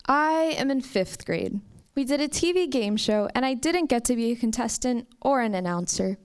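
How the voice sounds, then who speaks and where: A young woman from the United States telling a personal story at a live campus story slam, with slow, emphatic phrasing. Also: fairly high pitch, expressive, clear, resonant tone. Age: young adult. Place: stage at a campus story event.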